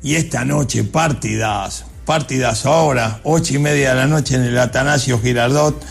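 A man's voice: medium pitch, normal speed, creaky, husky, faint music behind. A man giving an emphatic sports preview, hammering points home with rhetorical repetition. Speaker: sports commentator.

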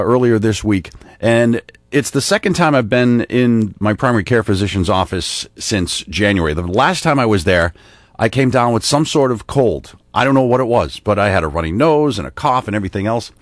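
A man's voice: mid-range voice, lively intonation, brisk, no echo, studio quality. A man radio host chatting casually, wondering aloud in a conversational way. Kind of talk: casual talk segment.

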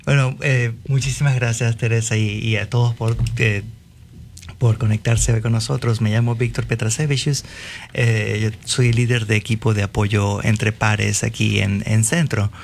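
Creaky, lower-pitched Venezuelan man, noisy background. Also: steady tone, moderate pace. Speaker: guest, peer support team leader. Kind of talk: conversational self-introduction.

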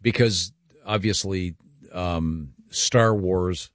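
A man's voice: sits low, drawn-out words, no echo. A man hosting a radio show who keeps asking curious questions in casual banter, recorded in a studio. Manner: curious, asks many questions.

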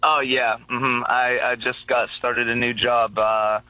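Man, radio caller, easygoing, rambling, bright voice, dry sound. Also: mid-range voice, level tone, a little slow, no echo, low-quality recording. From Pittsburgh area. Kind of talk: phone-in conversation.

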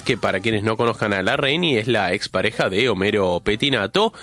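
A man's voice: lowish voice, bright, forceful tone. A male radio host with a Rioplatense Spanish accent, opening the show with a chatty monologue while congested with a cold, drawing out words for emphasis. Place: radio studio.

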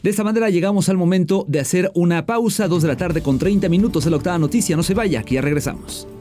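A Mexican man hosts a radio news program, moving briskly through the stories. His voice sits a little high and has a relaxed, mellow tone, slipping between scripted copy and conversational asides. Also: faint music behind.

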